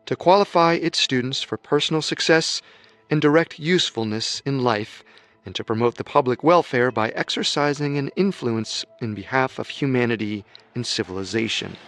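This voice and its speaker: A man giving measured, scripted documentary narration. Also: medium pitch, fairly bright tone, soft background music.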